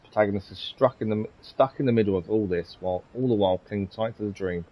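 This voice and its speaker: A man's voice: lowish voice, fast. A man livestreaming a video game, reading out on-screen text and chatting with viewers. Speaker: live streamer. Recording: slightly dull sound, game music behind. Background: faint game music.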